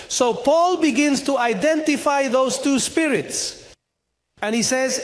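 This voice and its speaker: Middle-aged guy preaching on a studio stage, really high voice, handheld mic, animated, acts out the dialogue. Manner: animated, gesturing, acts out dialogue, rises to shouts. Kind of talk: sermon.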